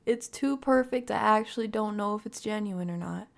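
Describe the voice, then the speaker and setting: A woman with noticeable vocal fry hosts a casual livestream reaction. Her talk is slangy and animated, and she picks things apart out loud as she goes, at an everyday female pitch. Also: moderate pace. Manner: animated, slangy, analytical, thinking aloud. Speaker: livestream host reacting to a video. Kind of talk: casual reaction commentary to live viewers.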